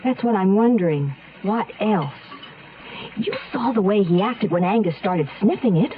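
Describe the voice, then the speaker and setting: An actress playing a suspicious, anxious, determined young granddaughter in a radio drama. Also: female voice, mid-range voice, expressive, moderate pace, lightly acted. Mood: suspicious, uneasy. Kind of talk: 1970s radio drama acting. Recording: tinny, band-limited old radio recording, hissy.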